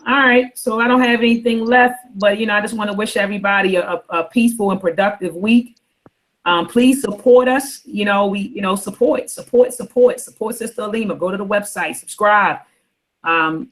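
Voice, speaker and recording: A woman's voice: medium pitch, fairly bright tone, poor audio. Rambling woman hosting a book club. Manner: rambling, repeats herself. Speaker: host. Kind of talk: casual book club chat.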